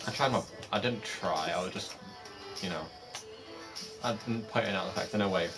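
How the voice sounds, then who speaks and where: A man streaming a game, chatting casually and rambling, his voice slightly raspy. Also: low voice, moderate pace. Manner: rambling, self-interrupting, laughs often. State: voice going raspy. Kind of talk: casual gaming chat. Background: background music.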